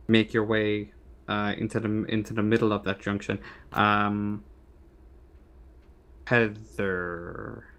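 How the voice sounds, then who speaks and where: A man narrating a tabletop game as game master, halting and often repeating his phrases as he improvises. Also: lowish voice.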